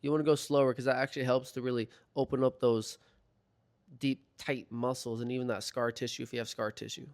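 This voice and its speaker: A young man talking through a foam roller routine in a relaxed, chatty voiceover, his voice a little hoarse as he recovers from being sick. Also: mid-range voice, brisk. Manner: relaxed, chatty, filler-heavy. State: slightly hoarse from recovering from illness. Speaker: fitness vlogger. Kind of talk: casual instructional voiceover. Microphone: voiceover mic.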